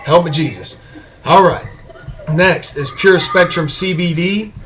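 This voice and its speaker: A man hosting a casual podcast, talking with excitement and trailing off quietly at sentence ends. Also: on the high side, unhurried. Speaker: podcast host. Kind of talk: casual chat and ad reads.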